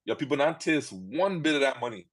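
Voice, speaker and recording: A man with a Liberian accent talks casually and directly to his audience in a relaxed monologue. His voice sits at an ordinary middle pitch for a man. He often jumps into quick little dialogues, acting out both sides. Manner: animated, acts out quick dialogues in put-on voices.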